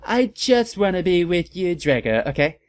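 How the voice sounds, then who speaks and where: Guy acting as a dramatic goth teenage girl, vain and petulant, higher whiny voice, comic story reading. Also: big pitch swings, moderate pace, no echo. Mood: self-pitying.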